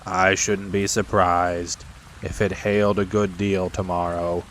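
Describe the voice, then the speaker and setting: A man voicing a gloomy old donkey from a children's storybook, dreary, deadpan and dryly sarcastic. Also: deep voice, measured pace, slight background noise, voice pushed down, rough recording.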